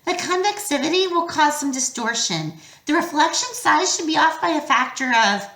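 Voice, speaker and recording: A quick-witted teenage girl, as a woman reader puts her on, urgent and commanding with precise, bookish words. The voice is high and bright with lively pitch, heard in an echoey room. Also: heavily acted. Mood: analytical. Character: sharp, bossy teenage girl, strategic and brave.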